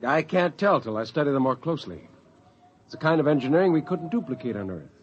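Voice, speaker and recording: Grave scientist on muffled old radio. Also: male voice, on the high side, expressive, fast, breathy edge. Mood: thoughtful. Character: grave male archaeologist on a space expedition. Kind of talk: 1950s radio drama acting. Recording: dull vintage radio recording, faint noise.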